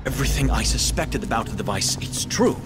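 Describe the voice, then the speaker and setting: An analytical male military doctor played by an actor in a game cutscene, explaining intently. His mid-range voice moves up and down a lot, with loud music behind. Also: medium pitch, expressive, brisk, lightly acted. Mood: intrigued, urgent. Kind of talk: cinematic video game acting.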